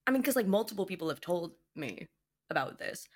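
A young woman with a low contralto voice, co-hosting a casual podcast chat, wry and quick to laugh. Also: big pitch swings, husky. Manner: wry, laughs often, dry quips. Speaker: podcast co-host.

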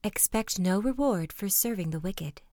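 A woman's voice: mid-range voice, lively intonation, normal speed, dark tone, dry recording, clean studio sound, lightly acted. A woman voicing a greedy, menacing, ungrateful male wolf in a fable. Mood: snarling contempt.

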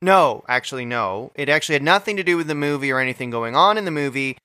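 Male podcast co-host, bright, forward voice, mock-macho deadpan storyteller, dry close mic. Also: mid-range voice, quick pace, clean tone, no room sound. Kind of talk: deadpan comedic podcast banter.

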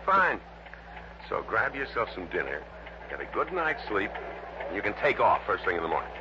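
An actor playing a businesslike American insurance man in a 1960s radio drama, explaining things deliberately and matter-of-factly. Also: fairly low pitch, sing-song. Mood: businesslike briefing. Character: businesslike male insurance office man briefing an investigator. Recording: noisy, dull lo-fi old radio recording.

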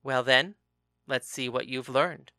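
A tough older brother, as voiced by a man, firm and menacing as he makes his threats. His voice comes through bright and forceful, close and dry. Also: mid-range voice, takes it slowly, dry recording. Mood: challenging.

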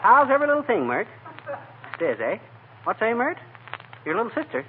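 A man's voice: high-pitched, wildly swooping pitch, a little fast. A man playing a cocky, bumbling, folksy husband, breezy and jokey in the manner of 1940s radio comedy. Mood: muddled, animated. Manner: breezy, jokey, over-familiar. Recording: tinny, noisy old radio recording.

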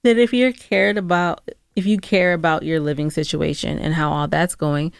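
A woman's voice: deep voice, fairly even intonation, moderate pace, gravelly, clear, resonant tone, no echo, clean studio sound. A woman co-hosting a casual advice discussion, stating her opinions plainly and challenging the other speaker. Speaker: podcast co-host.

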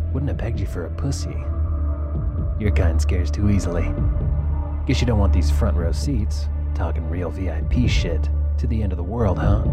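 A cocky horned tempter of doom, acted by a man, speaks in a deep voice over loud music. He taunts and swaggers like the villain of an audio drama trailer, with a foul mouth and total self-assurance. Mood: taunting.

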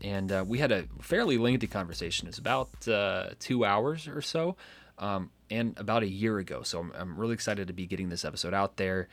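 A man hosting a podcast, introducing his guest in a relaxed way and giving short affirming replies. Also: fairly low pitch, brisk. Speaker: podcast host. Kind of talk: casual podcast introduction and interview.

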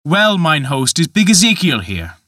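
A frantic young gambler, once a poor charcoal burner, shouts his woes in a raised, strained voice, as a male reader puts him on. The tone is bright and cutting, and the recording is dry and close. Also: a little high, huge pitch swings, normal speed, dry recording, pitched above the performer's own voice, exaggerated voice. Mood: eager. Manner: loud, raised and agitated.

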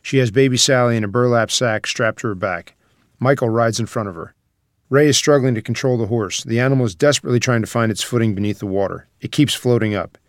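A man's voice: mid-range voice, quick pace, dry recording, clean studio sound. A man reading out screenplay scene headings and stage directions as a scripted narrator.

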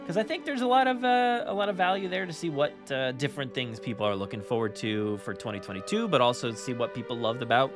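A man chats casually as a podcast host. He rambles and repeats himself at a middling pitch, with faint music underneath. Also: lively intonation. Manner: halting, repetitive, rambling delivery.

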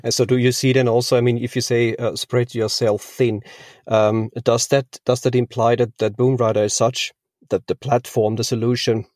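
A man's voice: mid-range voice, level tone, moderate pace, darkish tone, no room sound, studio quality. A man from Austria hosting an interview, asking long questions hesitantly with many fillers and restarts. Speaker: interviewer, host.

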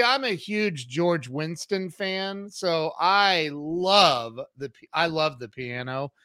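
A man's voice: a little high, sharp tone, smooth, dry recording. A man hosting an interview, effusive and hyping his guest, often repeating himself as he talks. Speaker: host. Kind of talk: casual interview.

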